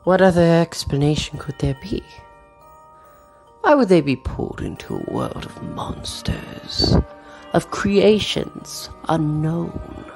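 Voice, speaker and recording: A woman's voice: low-pitched, huge pitch swings, slow pace, vocal fry, darkish tone. A woman game master narrating a tabletop roleplay in a theatrical, suspenseful manner full of rhetorical flourish. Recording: music behind the voice. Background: background music.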